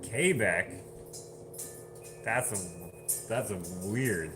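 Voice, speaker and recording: A man's voice: fairly low pitch, very slow, over music. A man casually chatting on a livestream, reading viewer comments aloud and laughing often. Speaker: livestream host.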